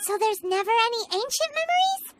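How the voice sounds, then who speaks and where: A woman voicing a tiny, childlike fairy companion in an anime-style video game, excitable and cheeky. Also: extremely high pitch, a little fast, noisy background. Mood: chirpy, curious. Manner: speaks of herself in third person.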